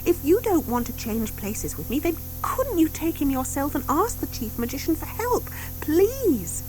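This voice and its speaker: A woman puts on a young witch girl from a children's storybook. She is earnest and anxious, speaking high and urgently with animated, pleading inflections. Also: moderate pace, faint music behind, rough recording.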